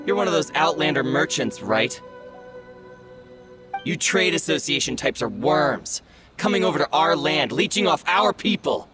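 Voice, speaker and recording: A sneering bully. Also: male voice, a little high, lively intonation, moderate pace. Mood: taunting. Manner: taunting, contemptuous. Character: sneering young male thug, mocking bully. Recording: game audio with clear music behind.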